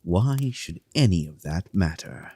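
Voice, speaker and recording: A man's voice: low-pitched, lively intonation, measured pace, mellow. A man playing a haughty, dismissive king in a fantasy audio drama, imperious and contemptuous. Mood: brisk, dismissive. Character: haughty, dismissive king giving orders to subjects.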